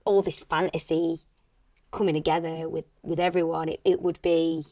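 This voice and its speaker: Young woman, lowish pitch, dry room-free sound, easygoing, conversational podcast interviewer. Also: little pitch movement, moderate pace, mellow, dry recording. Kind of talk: casual podcast chat.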